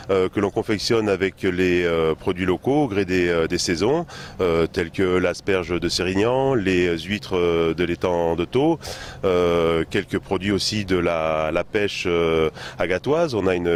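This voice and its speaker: A French chef with a very deep voice answers questions, stopping often and repeating words as he goes. A faint hum of ambience sits behind him. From France. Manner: hesitant, many fillers and repeated words. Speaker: chef and bistro owner. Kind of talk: interview answers.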